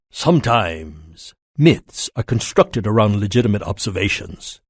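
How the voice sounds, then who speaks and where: A man's voice: on the low side, husky, no echo, studio quality, heavily acted. A man playing a cold, polite, menacing syndicate scientist in a video game, speaking in a formal, clinical, condescending way. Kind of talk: video game villain voice acting.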